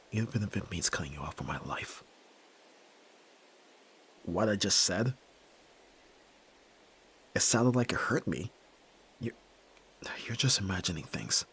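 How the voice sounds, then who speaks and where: A man acts out a young, nerdy yakuza heir for a dramatic ASMR roleplay. His voice sits fairly low with a husky grain. He is brusque and tormented, speaking curtly as he pushes the listener away. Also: animated, measured pace, pauses often, exaggerated voice. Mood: stern, conflicted. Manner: brooding, curt, pushing the listener away.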